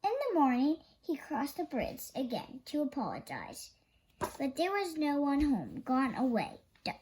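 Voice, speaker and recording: High-pitched, slow young storyteller reading aloud. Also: huge pitch swings, darkish tone, a little hiss or hum, low-quality recording. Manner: halting young reader, stumbles and self-corrects. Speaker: storyteller reading a children's picture book. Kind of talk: read-aloud story narration.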